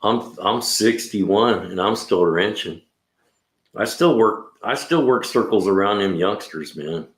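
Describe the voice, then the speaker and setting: A man's voice: lowish voice, a little slow. A man in his sixties hosting a casual livestream chat, laid-back and rambling, often saying "man" and "you know.". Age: 60s. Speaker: livestream host and mechanic. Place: garage. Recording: echoey room.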